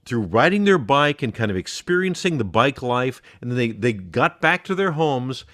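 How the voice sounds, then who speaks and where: A man's voice: medium pitch, very animated, moderate pace, a bit bright, no echo, clean studio sound. A man co-hosting a podcast in casual comic banter, riffing with mock exasperation and repeating phrases for emphasis. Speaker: podcast co-host.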